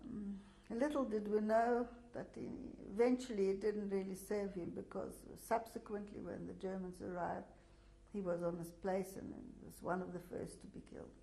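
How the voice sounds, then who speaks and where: An older woman, a native Russian speaker, giving an oral history testimony, pausing often with fillers and restarts. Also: mid-range voice, fairly even intonation, gravelly, mellow, low-quality recording. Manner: hesitant, many fillers and restarts. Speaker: interviewee.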